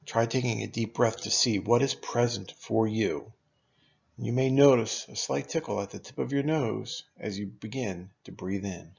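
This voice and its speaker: A man leading a guided meditation as a teacher, speaking in a calm, unhurried, instructional way with long pauses. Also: lowish voice, gravelly, husky, highs cut off.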